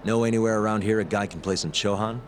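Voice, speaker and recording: An actor playing a wry, tough investigator in a video game, talking in a dry, casual, understated way. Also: male voice, fairly low pitch, fast talker, slight background noise, close to an everyday voice. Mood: reluctant, awkward. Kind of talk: video game cutscene acting.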